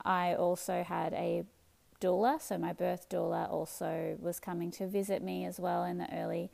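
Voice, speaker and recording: An Australian woman in her thirties hosting a solo podcast, chatting in a relaxed way with many ums and restarts. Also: lowish voice, creaky. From Melbourne, Australia. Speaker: podcast host. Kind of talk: casual solo podcast chat.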